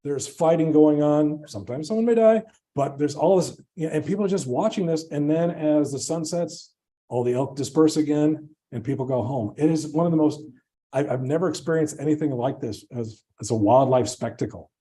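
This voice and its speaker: A man's voice: a little high, a little fast, warm tone. A man giving an informal presentation as a conservation scientist, speaking in an enthusiastic, conversational way. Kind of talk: informal conference talk to an audience.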